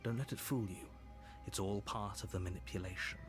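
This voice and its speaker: A stern game narrator with a lowish, measured voice, dry and commanding, as a man plays him. Clear game music runs under every line. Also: close to an everyday voice. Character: stern male narrator voice guiding the hero.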